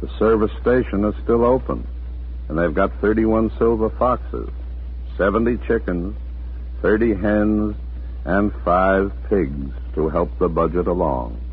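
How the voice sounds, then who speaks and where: A man's voice: on the low side, a little slow, slight background noise. A man narrating a 1940s radio drama as a folksy storyteller, scripted and familiar. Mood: folksy, measured. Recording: muffled, narrow 1940s radio recording.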